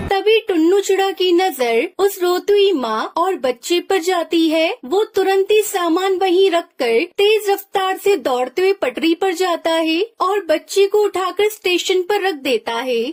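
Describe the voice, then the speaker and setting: Woman narrator for a cartoon story, very high voice, dramatic telling.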